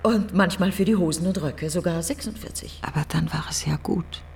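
A middle-aged woman in grief, bitter and reflective. Her voice is creaky and breathy, often sinking toward a whisper. She speaks in broken, deliberate phrases, as in a literary radio play. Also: lowish voice, darkish tone, slight background noise. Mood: bitter, ironic. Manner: fragmented, brooding, deliberate phrasing. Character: grieving, bitter, reflective woman alone after a friend's funeral. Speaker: actress performing a radio monologue.

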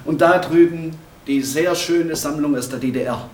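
A man's voice: a little high, moderate pace, a little mellow, lightly acted. A man playing a detached, pedantic forensic lab expert explaining his specimens in a radio drama. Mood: proud, detached. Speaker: supporting actor. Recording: roomy echo, faint background noise.

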